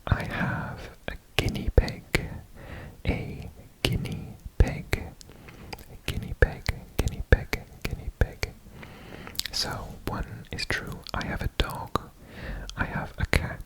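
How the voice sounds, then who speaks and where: A man from Wales, whispering a quiz about himself for an ASMR video, repeating single words softly over and over. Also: slow, rough recording. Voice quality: whispered throughout. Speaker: ASMR creator.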